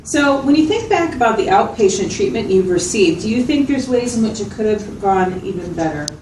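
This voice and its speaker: A woman playing a patient, attentive mental health clinician who explains things in a measured way and checks for agreement. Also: mid-range voice, animated, darkish tone, close to an everyday voice. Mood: calm explaining. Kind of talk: acted clinical interview, explanatory. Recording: roomy, distant mic, noticeable background noise.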